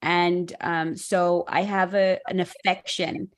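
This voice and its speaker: A middle-aged woman hosting a panel discussion on dating, speaking reflectively and pausing to restart her thoughts. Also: lowish voice, flat delivery. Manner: reflective, measured, with many restarts. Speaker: host.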